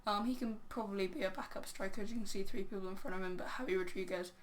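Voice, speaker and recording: A woman with a crackly, hoarse voice giving casual, rambling video game commentary, full of "um" and "yeah". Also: medium pitch, fast. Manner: rambling, filler-heavy, "um, yeah". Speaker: game channel host.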